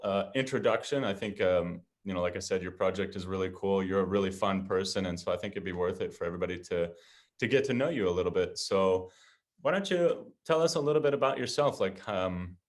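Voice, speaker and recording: Deep-voiced man hosting a chat. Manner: friendly, loose, many fillers. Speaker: podcast host. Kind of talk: casual interview chat. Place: home in Amsterdam.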